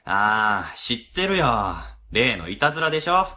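A man's voice: medium pitch, big pitch swings, moderate pace, pushed and bright. A man playing a fussy, grumbling middle-aged section chief, fretful and talkative, in a radio drama. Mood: fussy, musing.

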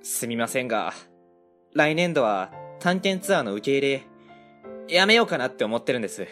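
A woman with a Kansai accent voicing a kindly, soft-hearted middle-aged man who runs a small factory, in an amateur radio drama. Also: a bass voice, big pitch swings, normal speed, soft background music, full character voice. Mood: hesitant. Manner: gentle, humble, easily moved.

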